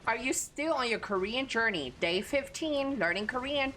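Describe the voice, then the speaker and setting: A woman's voice: fairly high pitch, expressive, normal speed, sharp tone, a little hiss or hum. A woman with a Texan Southern accent chatting casually and crudely with her audience while streaming a game. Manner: crude, profane, laid-back. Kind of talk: casual gaming stream chat.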